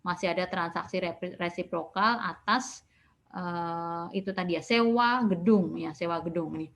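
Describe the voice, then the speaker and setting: Low-pitched woman lecturing. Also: normal speed, fairly bright tone. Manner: didactic, repeatedly checking with short tag words. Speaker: accounting lecturer. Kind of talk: recorded online lecture, explaining step by step.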